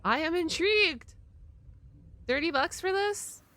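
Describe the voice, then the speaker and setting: A woman's voice: extremely high pitch, expressive, measured pace, sharp tone. A woman streamer chatting casually as she reacts to a video, in an informal, amused, exclamatory way.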